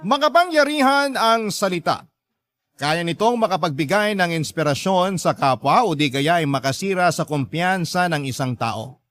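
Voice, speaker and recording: A Filipino male radio host reads a dramatic story in a measured way. His voice sits somewhat high for a man, and the sound is dry and close. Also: expressive, fairly bright tone, no room sound. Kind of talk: scripted dramatic narration of listener's letter.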